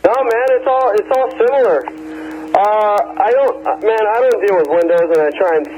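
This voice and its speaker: Easygoing guy chatting tech on ham radio, bit higher voice, slangy, some static noise. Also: moderate pace, darkish tone. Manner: laid-back slangy talk, often saying "man" and "you know". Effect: two-way radio transmission. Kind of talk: casual technical chat over radio. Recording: noisy ham radio repeater audio, hum.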